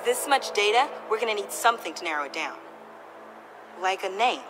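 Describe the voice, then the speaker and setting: A woman's voice: medium pitch, lively intonation, fairly quick, natural voice. Woman playing eager young rookie sidekick, music behind. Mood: focused. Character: young female rookie sidekick, tech-savvy, eager, slightly unsure. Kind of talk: video game voice acting. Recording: music underneath.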